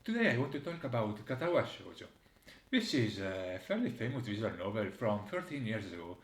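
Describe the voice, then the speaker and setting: Low-voiced man in an echoey room. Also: sing-song, brisk. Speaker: host presenting a game critique. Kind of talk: video game commentary, reading dialogue aloud.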